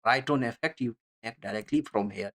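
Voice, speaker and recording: Halting software tutorial instructor with non-native English. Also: medium pitch. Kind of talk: unscripted screen-recorded step-by-step tutorial narration.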